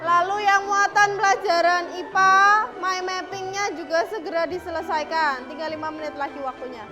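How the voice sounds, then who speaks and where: A woman's voice: very high-pitched, steady tone, normal speed, music in the background. A woman working as a primary school teacher, giving classroom instructions and prompting pupils with repeated questions and directions.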